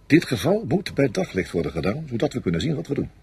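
An actor playing a confident, coldly calculating senior French army officer in a radio play, speaking with matter-of-fact authority. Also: male voice, mid-range voice, big pitch swings, quick pace, creaky, a little mellow, husky, exaggerated voice. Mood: commanding. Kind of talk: Dutch radio play acting.

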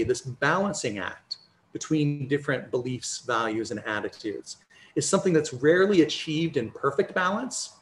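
A man's voice: on the high side, a little hiss or hum. Man lecturing over a video call. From United States. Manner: measured, explanatory, personal examples. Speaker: conference lecturer. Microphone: video call, computer mic.

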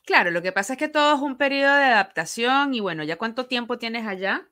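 A woman's voice: on the high side, expressive, fast talker, pushed and bright. A woman with a Venezuelan accent hosting a casual radio interview in an affectionate, bubbly manner, close on a studio mic. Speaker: radio show host. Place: small living room at home, indoors. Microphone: studio microphone on a boom arm, close.

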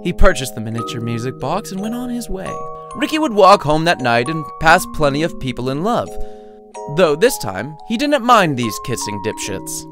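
High-pitched male storyteller, very animated. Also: normal speed, music in the background. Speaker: author reading own short story. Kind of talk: scripted audiobook narration.

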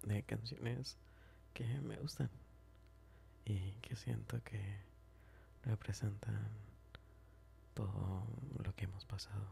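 A man playing a smitten, tender and teasing best friend in an ASMR roleplay, speaking softly and hesitantly, often whispering and repeating himself. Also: fairly low pitch, fairly even intonation, slow pace, long pauses, a little hiss or hum, full character voice. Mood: shy, intimate. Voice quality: soft, breathy, often whispering. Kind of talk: ASMR roleplay, intimate chat.

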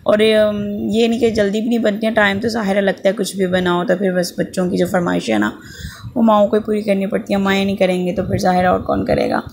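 Pakistani mom in her thirties, hoarse and rambling homely voice-over, low-key and monotone. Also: fast, a little hiss or hum. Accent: Pakistani Urdu. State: sore throat, slight fever. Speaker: vlogger, mother. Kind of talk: casual voice-over narration. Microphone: voice-over at close mic.

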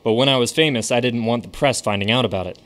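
A man's voice: medium pitch, fast, a bit bright, natural voice. An actor playing a famous stage magician with a secretive showman's flair in a radio drama. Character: famous stage magician, now a ghostly guide.

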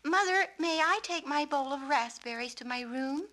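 A woman voicing an earnest, tender little girl in a storybook, soft and breathy. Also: expressive, normal speed. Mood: polite. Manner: soft, breathy, higher than the narration.

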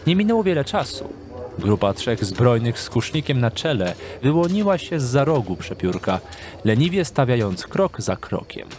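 A man narrating a scripted dark fantasy story as the narrator of an audio drama. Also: mid-range voice, slight background noise.

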